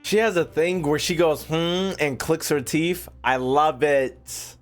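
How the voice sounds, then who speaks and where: A man's voice: on the high side, steady tone, slow, soft background music. A young man doing casual, slangy, profane reaction commentary as a gaming streamer, riffing and repeating himself.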